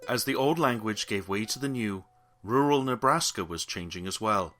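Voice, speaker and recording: A man with a slightly low voice reads a heritage documentary in a measured, solemn tone. Soft guitar music plays beneath him. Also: lively intonation. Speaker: documentary narrator. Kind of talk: scripted narration.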